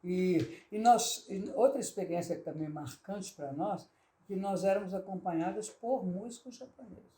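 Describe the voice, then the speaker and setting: A woman's voice: mid-range voice, normal speed, warm tone. An older woman from Brazil, a singer speaking with a Brazilian accent, telling stories animatedly and finishing her partner's sentences in an interview. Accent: Brazilian Portuguese. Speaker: singer, guest. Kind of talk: reminiscing interview conversation. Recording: roomy echo.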